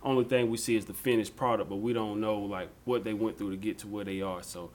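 A man's voice: lowish voice, fairly even intonation, fast, a little mellow. A man hosting a podcast interview in a casual, chatty way, often saying "yes, sir" and using fillers like "um". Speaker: podcast host. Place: on location.

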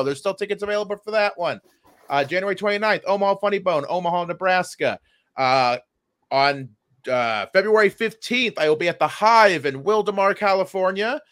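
A man who works as a comedian, co-hosting a podcast with casual, crude joking and a stammering, sometimes loud delivery. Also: high-pitched, dry recording. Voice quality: loud, given to yelling. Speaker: stand-up comedian.

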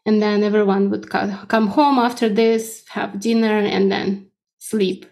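A woman's voice: mid-range voice, fairly even intonation, a little slow, gravelly, a little mellow. Russian-accented woman explaining in an interview. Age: 30s. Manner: explanatory, often checks with "right?". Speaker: podcast guest. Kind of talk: conversational interview answers.

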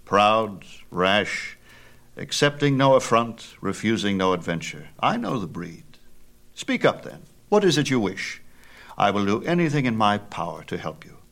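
A man's voice: on the low side, sing-song, a little slow, gravelly, fairly bright tone, exaggerated voice. Man playing a proud, imperious sun god. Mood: proud, magnanimous. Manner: imperious, theatrical, emphatic. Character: proud, mighty sun god father, booming and quick-tempered. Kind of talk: dramatized myth storytelling.

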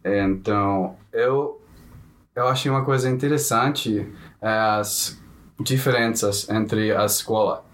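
A man's voice: lowish voice, slow pace, fairly bright tone. Hesitant man asking questions. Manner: hesitant, searching for words. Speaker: co-host. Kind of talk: casual interview chat.

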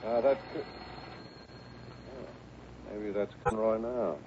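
An American man playing a brisk, gruff police lieutenant who gives clipped orders in a 1960s radio drama. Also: on the low side. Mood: matter-of-fact. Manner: authoritative, emphatic, clipped orders. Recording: thin, narrow, noisy old radio broadcast.

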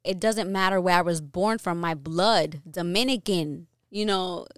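A woman's voice: on the low side, unhurried, clean tone, dry recording, studio quality. A young adult woman from New York, co-hosting a podcast and talking through her opinions in an earnest, casual way, with many fillers and restarts. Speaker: podcast co-host.

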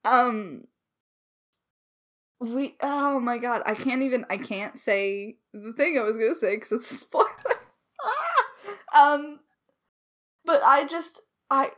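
A woman's voice: a little high, fairly bright tone. A woman chatting casually as a podcast co-host, giggly, effusive and slangy. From Alberta, Canada. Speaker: podcast co-host, audio drama creator. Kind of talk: casual chat, answering listener questions.

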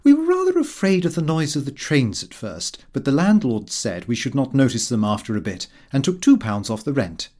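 A man's voice: medium pitch, big pitch swings, moderate pace, few pauses, darkish tone. A man acting a fussy Victorian clerk, swooping intonation. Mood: self-satisfied, chatty. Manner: prim, pompous, earnest. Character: fussy, self-satisfied Victorian city clerk diarist, middle-aged man. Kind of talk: audiobook narration of a comic diary.

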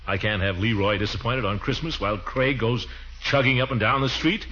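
An actor playing a pompous, blustery, soft-hearted middle-aged uncle in a 1940s radio comedy. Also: male voice, mid-range voice, fairly quick, slight background noise, natural voice. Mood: worried, indignant. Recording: muffled 1940s radio recording.

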